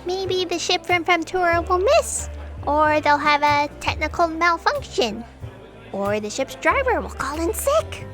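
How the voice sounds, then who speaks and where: A teasing, matter-of-fact female character from a sci-fi comedy podcast, played by a woman. Her high voice bounces around at an easy, slow pace, with faint music behind. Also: sing-song, a bit bright, exaggerated voice. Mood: wry. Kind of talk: comic sci-fi audio drama acting.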